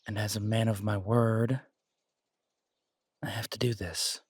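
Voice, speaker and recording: A man playing a confident, protective alpha werewolf boyfriend in an intimate roleplay audio drama, husky and soothing, teasing and reassuring in a casual way. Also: lowish voice, level tone, unhurried, no room sound. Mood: earnest, soothing.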